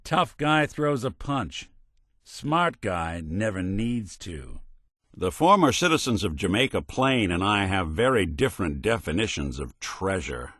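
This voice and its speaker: World-weary male android private eye, video game. Deep, expressive, sardonic noir delivery, close dry sound. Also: unhurried, no echo, full character voice. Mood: somber, dry. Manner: wry, dry, sardonic, old-time tough-guy phrasing. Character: world-weary wisecracking male synth robot detective, middle-aged. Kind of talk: scripted game companion dialogue, noir detective.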